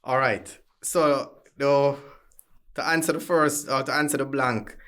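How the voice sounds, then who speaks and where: A man with a strong Jamaican accent, talking as a guest attorney in casual conversation and telling stories with animated energy. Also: a little slow. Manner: animated, acts out quotes with big pitch jumps.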